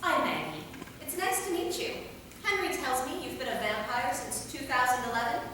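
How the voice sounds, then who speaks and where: In an echoing hall, a woman plays a composed centuries-old female vampire. Her bright, fairly high voice is polite and dry as she reads in a stage comedy. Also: animated, moderate pace. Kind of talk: staged reading of a comedy play. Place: theater, recorded from a distance. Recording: echoey hall, far mic, faint noise.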